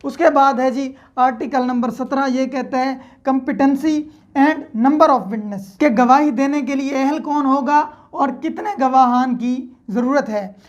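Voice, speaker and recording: High-voiced Pakistani woman lecturing on dull audio. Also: slow pace. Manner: methodical, repeats key points, mixes in English legal terms. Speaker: law lecturer. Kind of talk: explanatory lecture with everyday examples.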